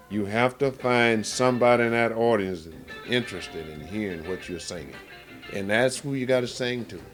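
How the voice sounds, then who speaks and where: A man who sings and plays blues guitar, talking in an interview in an emphatic, colloquial, demonstrative way. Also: on the low side. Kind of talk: casual interview.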